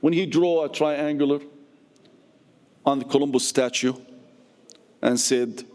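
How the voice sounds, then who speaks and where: Middle-aged male speaker, passionate and emphatic, medium pitch, long hall reverb. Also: measured pace, a little mellow. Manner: passionate, emphatic, with repeated phrases and big pitch swings. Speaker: community speaker and activist. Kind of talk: impassioned public speech. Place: mosque hall.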